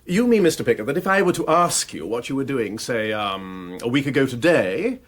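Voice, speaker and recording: An actor playing a patient gentleman who asks polite, leading questions in a radio drama. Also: medium pitch, sing-song, moderate pace. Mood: patient, probing. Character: patient, probing gentleman questioner testing a memory.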